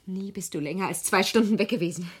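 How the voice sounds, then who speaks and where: An actress in a radio play playing an embittered, fearful daughter, intense and breathy. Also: female voice, on the low side, fast. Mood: resentful, agitated. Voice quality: husky, breathy.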